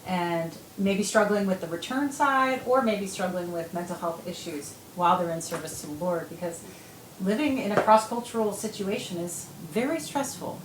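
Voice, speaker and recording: A woman's voice: mid-range voice, normal speed. A woman hosting a panel discussion as clinical director, friendly and affirming. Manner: friendly, affirming, with many backchannels. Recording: echoey room, faint noise.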